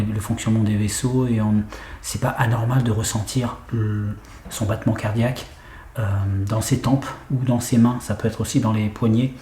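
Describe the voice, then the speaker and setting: Man with a head cold, mellow tone, hesitant vlogger, echoey room. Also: lowish voice, a little hiss or hum. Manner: hesitant with many fillers, sometimes whispering. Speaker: paranormal investigator vlogger. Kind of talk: hesitant talk to camera. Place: large room in an old house, indoors.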